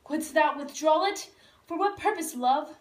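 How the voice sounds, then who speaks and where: A woman's voice: high voice, a little slow. A woman playing an ardent, lovesick young nobleman in a puppet show, speaking romantic Shakespearean verse theatrically. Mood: pleading. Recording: roomy echo, distant microphone.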